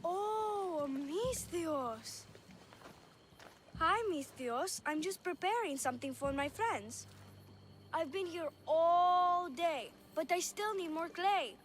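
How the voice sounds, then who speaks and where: A woman's voice: extremely high pitch, animated, slow pace, slight background noise, full character voice. An actress playing an innocent, lonely little girl in a video game, earnest and playful. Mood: earnest, sweet. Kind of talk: video game cutscene acting.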